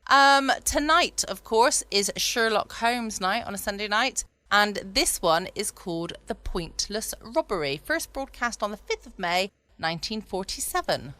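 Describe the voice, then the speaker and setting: A woman's voice: medium pitch, animated, measured pace, bright, forceful tone. A woman hosting a casual bedtime podcast, recorded at home. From United Kingdom. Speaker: podcast host. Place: at home, dry close recording.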